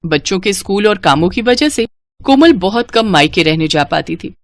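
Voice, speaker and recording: A woman's voice: mid-range voice, lively intonation, moderate pace, dry recording. Woman narrating a family cartoon. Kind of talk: storytelling narration.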